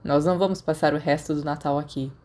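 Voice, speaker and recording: Woman voicing a low, weary teenage schoolboy. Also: steady tone, fast talker, a little mellow, natural voice. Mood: teasing. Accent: Brazilian. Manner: blunt, reluctant. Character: weary, gruff, reluctant sardonic teenage schoolboy.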